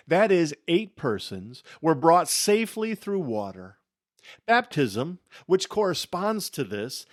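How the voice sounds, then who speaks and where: Guy doing a solemn dramatic bible reading, really slow w big pauses, very dry close sound. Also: on the high side, no room sound. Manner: solemn, emphatic, with deliberate pauses and hushed phrase endings. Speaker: scripture reader.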